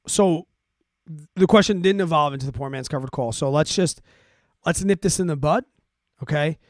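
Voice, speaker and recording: A man's voice: fairly high pitch, dry recording, studio quality. A man hosting a finance livestream, explaining things casually and conversationally while checking in with his listeners. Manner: conversational, repeats check-ins like "right?" and "okay?". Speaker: host of a finance livestream answering listener questions. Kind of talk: casual explanatory talk to a live audience.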